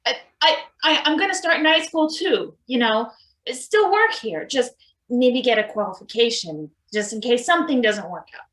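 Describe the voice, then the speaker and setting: A woman's voice: on the high side, expressive, normal speed, a bit bright. A woman playing an earnest, hesitant maintenance worker in a table read of a satirical play. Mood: hesitant, conciliatory.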